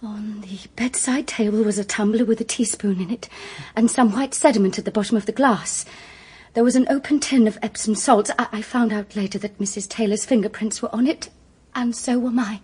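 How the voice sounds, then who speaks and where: A woman's voice: on the high side, steady tone, fairly quick, darkish tone, slightly rough. An actress playing an anxious, guarded woman accused of murder, careful and hesitant, in a detective radio drama. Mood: shaken.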